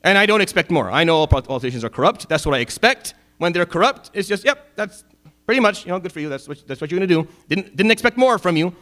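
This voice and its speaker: Fast-talking imam preaching. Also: male voice, animated, a bit bright. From Syria. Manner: impassioned, rising to emphatic peaks then dropping low and wry. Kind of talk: Friday sermon.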